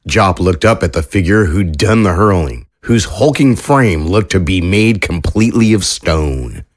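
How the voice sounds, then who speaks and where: A man's voice: low voice, lively intonation, measured pace, no echo, studio quality. A man hosting and narrating a scripted fiction podcast.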